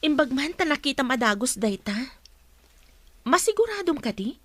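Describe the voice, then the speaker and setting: An actress playing a wary, probing, businesslike office senior in a radio drama. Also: female voice, high-pitched, sing-song, normal speed, a bit bright, no room sound.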